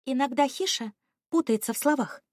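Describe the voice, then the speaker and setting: A woman's voice: sits high, normal speed, no echo, studio quality, voice pushed up. A woman voicing a bold, boisterous and loyal adventurous girl in a storybook, with a confident, exclamatory delivery. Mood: earnest.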